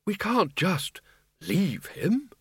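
A man's voice: high voice, wildly swooping pitch, drawn-out words, fairly bright tone, slightly rough, no room sound, studio quality, voice pushed up, heavily acted. A man voicing an elderly undead man in an audiobook, quavering, polite and anxious, hesitant but insistent. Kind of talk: audiobook character voice.

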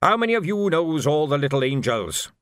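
A man voicing a folksy, deferential ageing army sergeant with rough grammar in an audiobook. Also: on the high side, big pitch swings, a little fast, dry recording, studio quality, voice pushed up. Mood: loud, drilling. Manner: folksy, ungrammatical, barks at recruits. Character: deferential ageing sergeant, cheerful old soldier drilling volunteers. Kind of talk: audiobook character voice.

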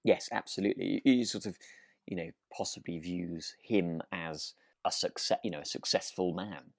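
A man's voice: sits low, normal speed, creaky, no room sound, clean studio sound. A man co-hosting a podcast, chatting casually about a radio drama in thoughtful, hesitant phrases. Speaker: podcast co-host.

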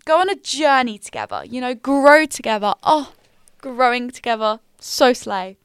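A woman of twenty, hosting a casual solo podcast in a small quiet room, candid and upbeat with slangy asides. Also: a little high, big pitch swings, moderate pace, clean tone, no echo, clean studio sound. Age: 20s. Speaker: podcast host. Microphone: studio mic.

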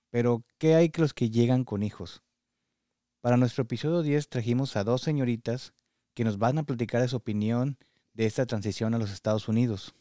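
Male podcast host, relaxed dark timbre, dry recording. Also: medium pitch, no room sound. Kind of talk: podcast introduction and interviewing.

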